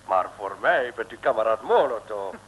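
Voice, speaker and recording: A man playing an effusive, excitable foreign official in an old radio comedy serial, speaking in a florid, gushing way. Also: on the low side, normal speed. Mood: conspiratorial. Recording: noisy old radio recording.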